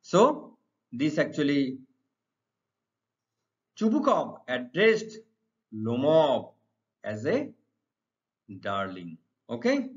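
Indian man teaching, highly animated pitch. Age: middle-aged. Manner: deliberate, repetitive, emphatic phrasing. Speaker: English teacher. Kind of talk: exam lesson explained to students. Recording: dull, lo-fi sound.

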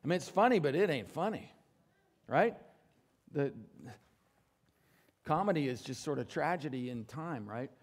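A man's voice: fairly high pitch, expressive, moderate pace, long pauses. Man preaching emphatically in church. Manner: emphatic preaching with dramatic volume swings, asks the congregation for assent. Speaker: pastor. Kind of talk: sermon. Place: church stage with congregation.

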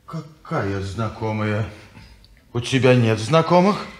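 An actor playing a tipsy, coarse watchmaker who mocks and bristles, in a 1950s radio play. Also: male voice, lowish voice, lively intonation, takes it slowly. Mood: suspicious. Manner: mocking, sullen, blunt. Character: drunk middle-aged male watchmaker, coarse and bitter.

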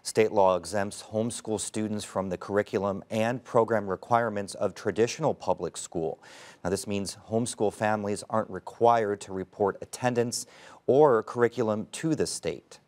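A man in his thirties anchoring a news program in a crisp, formal read. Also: fairly low pitch, steady tone, normal speed, a little mellow.

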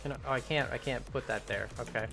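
A young man in his twenties chatting casually as he builds during a live game stream, rambling and thinking aloud, his voice starting to go hoarse. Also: mid-range voice, quick pace, over music. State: voice going hoarse. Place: home room at a computer.

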